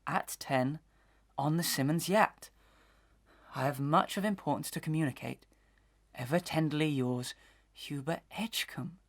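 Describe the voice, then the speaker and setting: A man playing an earnest, honourable but disgraced gentleman in a Victorian melodrama, speaking formally and declaiming with feeling. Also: fairly high pitch. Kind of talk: Victorian melodrama audio acting.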